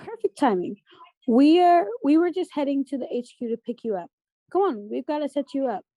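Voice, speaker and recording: Chatty, friendly teenage girl, read by a woman, highish and mellow, table-read delivery. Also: animated, a little fast, no echo, poor audio. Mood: brisk. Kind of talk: table read of a scripted pilot.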